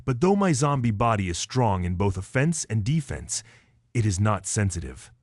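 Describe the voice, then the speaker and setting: A man voicing an ancient undead clan patriarch, proud and furious, who bursts out in bitter shouts. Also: on the low side, sing-song, normal speed, no echo, clean studio sound. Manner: shouting, wrathful, bitter outbursts.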